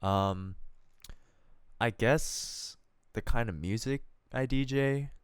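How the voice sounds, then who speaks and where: A man's voice: medium pitch, slow. A young man in his twenties, a native Korean speaker, co-hosting a podcast and talking in a laid-back, hesitant way with many fillers. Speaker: podcast co-host, DJ. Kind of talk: casual chat.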